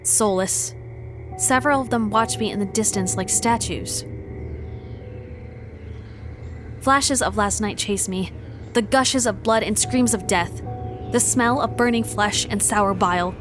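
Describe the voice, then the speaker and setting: A woman playing a wary, determined traveler, giving measured, introspective first-person narration in a visual novel fan dub. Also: medium pitch, natural voice. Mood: dread, urgency. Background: music.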